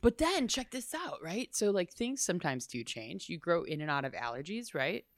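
A young adult woman talking as an interview guest, loud and chatty, laughing often. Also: fairly low pitch, very animated, moderate pace, no room sound. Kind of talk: casual interview chat.

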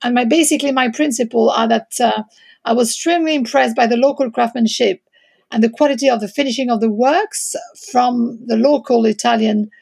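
A woman's voice: fairly high pitch, fairly quick, gravelly, a little mellow, husky. A middle-aged woman from France with a French accent, answering interview questions hesitantly and searching for English words. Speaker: interior designer guest.

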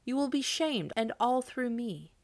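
A woman's voice: a little high, animated, unhurried, pitched above the performer's own voice. A woman reading aloud, voicing a humble, sorrowful lady who speaks plaintively.

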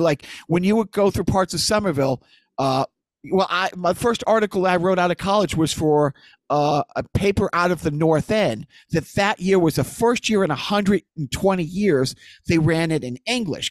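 A man with a slightly high voice hosts a relaxed chat. He rambles through anecdote after anecdote, recorded close and dry with no room sound. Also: no echo. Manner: rambling storyteller, jumping between anecdotes. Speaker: podcast host. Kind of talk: casual chat.

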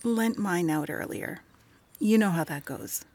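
A woman's voice: on the low side, unhurried, a little mellow. A woman playing a wry, blunt clinic worker who teases her old friends, in a naturalistic audio drama with dry, casual, clipped phrasing. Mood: guarded, wounded.

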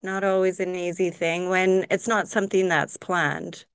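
A woman's voice: fairly low pitch, level tone, clean tone, no room sound. A woman chatting as a podcast guest, with dry remarks and frequent laughter. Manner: slow, dry, laughs often. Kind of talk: casual chat.

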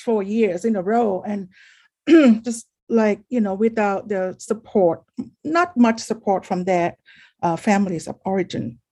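A middle-aged woman from Thailand sharing a personal testimony at a support meeting in a halting, reflective way. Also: mid-range voice, dark tone.

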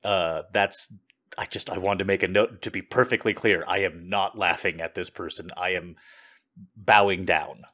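A man's voice: low voice, fairly even intonation, moderate pace, pushed and bright. A man hosting a show, answering listener messages in earnest, casual asides. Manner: laughing, earnest, apologetic asides.

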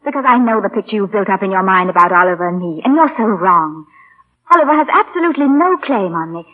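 A woman's voice: mid-range voice, animated, fast, lightly acted. An actress playing an earnest, adoring young lady in 1940s radio melodrama, with breathless, theatrical sincerity. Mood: low, pleading. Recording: muffled vintage radio broadcast, music behind.

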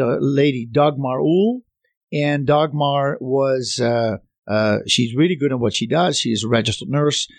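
Man, foreign accent, radio host, halting speech full of restarts, mid-range voice, dry close studio sound. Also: medium pitch, darkish tone, no room sound. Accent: foreign accent, not specific. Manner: hesitant, many restarts and fillers. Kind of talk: conversational radio interview.

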